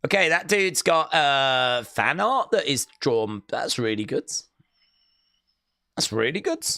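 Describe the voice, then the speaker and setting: A man's voice: medium pitch, big pitch swings, slow, bright, forceful tone, no room sound, clean studio sound. A man casually commenting on a wrestling show while streaming live and reading out chat messages. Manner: casual, chatty, many "uh" fillers. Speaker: wrestling watch-along streamer.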